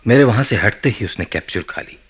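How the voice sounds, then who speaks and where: Deep, raspy, commanding senior psychiatrist. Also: male voice, expressive. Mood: intense, revelatory. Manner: deliberate, probing, commanding, dramatic pauses. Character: authoritative, intense senior psychiatrist running experiments. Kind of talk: radio play acting, Hindi mixed with English.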